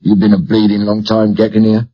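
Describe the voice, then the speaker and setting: Weary Cockney radio operator played by a male narrator, hoarse, wry and bitter, audiobook dialogue, slightly muffled sound. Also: fairly low pitch, little pitch movement, brisk. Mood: wry, welcoming. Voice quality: hoarse, weak.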